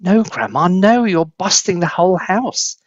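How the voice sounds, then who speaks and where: An excitable storybook boy, acted by a man, with a raised pitch and earnest, exclamatory delivery. The sound is close and slightly muffled. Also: measured pace, dry recording, exaggerated voice. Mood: warning. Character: excitable, alarmed young grandson in a storybook.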